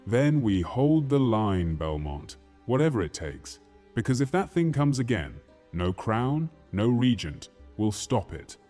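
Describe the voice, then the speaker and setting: A man playing a brash, foul-mouthed, battle-hardened soldier in a fantasy audio drama, with a crude, forceful manner. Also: mid-range voice, big pitch swings, a little slow, soft background music. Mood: resolute.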